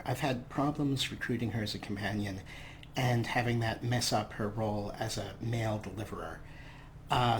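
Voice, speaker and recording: A man's voice: fairly low pitch, a bit bright, a little hiss or hum. A man giving casual, explanatory let's play commentary in a chatty manner as the host of a gaming video.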